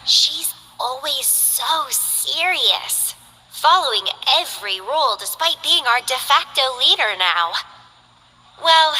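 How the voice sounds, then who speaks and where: A woman's voice: high voice, sharp tone, big-room sound, full character voice, low-quality recording. A woman voicing a languid, bored young mercenary who loves plants, in game character voice lines with a lazy, teasing drawl.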